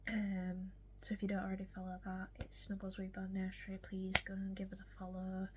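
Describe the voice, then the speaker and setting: A nineteen-year-old woman with a strong Yorkshire accent, chatting casually to camera in a get-to-know-me vlog, often soft and whispery with lots of ums, hiccuping now and then. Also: medium pitch, monotone, brisk, very noisy. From West Yorkshire, England. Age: young adult. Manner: soft, frequently whispery delivery with many ums. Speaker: vlogger.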